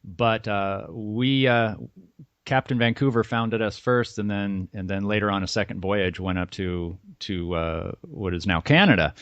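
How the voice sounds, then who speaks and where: A man's voice: fairly low pitch, fairly bright tone, dry recording. A man hosting an interview podcast, friendly and a little rambling as he asks his questions. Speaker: podcast host. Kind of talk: casual interview conversation. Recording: dull, dry sound.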